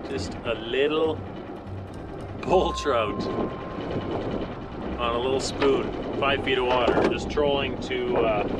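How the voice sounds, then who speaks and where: A middle-aged man talking to camera from a small boat on a lake as he fishes, chatty and matter-of-fact. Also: on the high side. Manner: chatty, talks to camera while working the rod. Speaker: fishing vlogger. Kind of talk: casual narration while fishing. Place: small open boat on a windy mountain lake. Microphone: action camera mounted on the boat. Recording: distant camera mic with loud wind and water. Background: wind and boat noise.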